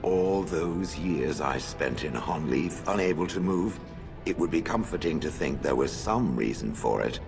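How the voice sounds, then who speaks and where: An actor playing an ancient, dry and haughty stone golem in a fantasy game, gravelly and stiffly formal. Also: male voice, extremely low pitch, expressive, measured pace, exaggerated voice. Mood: grudging, reflective. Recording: game music behind voice.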